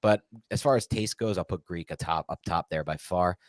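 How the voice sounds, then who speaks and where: A man's voice: deep voice, normal speed, no room sound, clean studio sound. An American man co-hosting a sports talk show, chatting casually in a rambling, opinionated and emphatic way. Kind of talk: casual live Q&A chat about American football.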